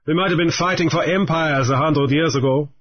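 A proud, sententious pirate with a pompous, formal way of speaking, as a male narrator voices him. The voice is husky and a little raised. The lo-fi recording sounds dull. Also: a little high, a little fast, pitched above the performer's own voice, lightly acted. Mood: haughty.

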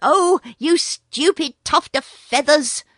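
A woman's voice: high voice, no room sound, clean studio sound, pitched above the performer's own voice. A woman voicing a brave young male mongoose who speaks sharply and impatiently. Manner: brisk, sharp and scolding.

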